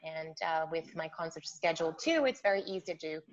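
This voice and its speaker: A woman's voice: sits low, animated, brisk. Chatty woman pianist on a muffled line. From Norway. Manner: chatty, warm storytelling with frequent fillers. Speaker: pianist guest. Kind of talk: casual interview chat. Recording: muffled remote line with faint hiss.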